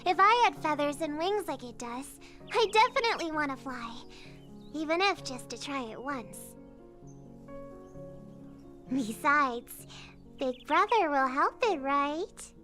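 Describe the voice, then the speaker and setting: A little girl in a game drama, high and unhurried in her speech. She sounds innocent, gentle and earnest, a dreamy child with firm resolve. Soft music plays behind her. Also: lively intonation, exaggerated voice. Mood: hopeful, determined. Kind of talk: video game drama acting.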